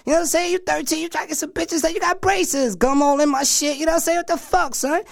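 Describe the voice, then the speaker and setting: High-pitched, furious New York rapper. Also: male voice, fast talker, gravelly. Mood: exasperated. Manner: ranting, repetitive, foul-mouthed, defensive. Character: perpetually furious, whining underdog male rapper with grievances. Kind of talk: hip-hop album comedy skit.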